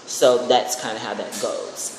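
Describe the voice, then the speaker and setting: A young adult vlogger talking casually to an online audience in a rambling, excitable way. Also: medium pitch, measured pace. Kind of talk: casual chat to camera. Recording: echoey room with clear background noise.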